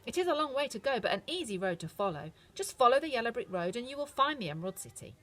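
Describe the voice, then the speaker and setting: A woman voicing a kindly, motherly old good witch who speaks in a gentle, reassuring way. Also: fairly high pitch, very animated, fast talker, pitched above the performer's own voice. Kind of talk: storybook reading.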